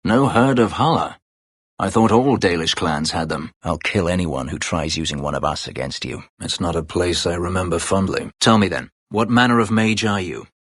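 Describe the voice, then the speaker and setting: An actor playing a brooding, bitter escaped-slave elf warrior in a fantasy video game, terse, guarded and drily sardonic, with a gravelly voice. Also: male voice, normal speed, a bit bright, no room sound, studio quality. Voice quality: gravelly, husky low voice. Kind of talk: dramatic fantasy video game character dialogue.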